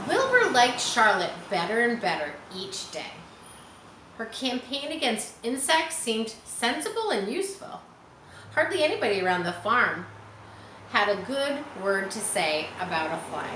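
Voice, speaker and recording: A woman reading a children's book aloud in an expressive storytelling style, in a roomy space. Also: medium pitch. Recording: roomy echo with faint background noise.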